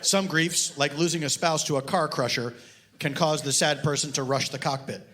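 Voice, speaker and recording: A man's voice: a little high, steady tone, moderate pace, a bit bright, close to an everyday voice. A man voicing a grave, self-important retired pilot turned detective in a comic story. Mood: solemn, condescending. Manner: grave, pompous.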